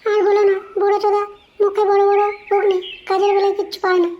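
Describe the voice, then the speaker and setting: A flirty, grumbling cartoon wife with an extremely high, squeaky and monotone delivery. She talks very quickly, and the lo-fi recording is dull. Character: shrill, flirty, dissatisfied wife in a comedy cartoon. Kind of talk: amateur comedy cartoon dialogue. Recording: dull lo-fi audio with faint noise.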